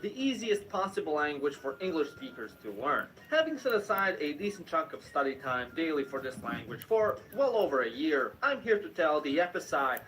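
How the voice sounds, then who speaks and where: Sardonic man, lively scripted narration. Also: on the high side, normal speed, few pauses, poor audio. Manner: sardonic, deadpan jokes. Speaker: video narrator.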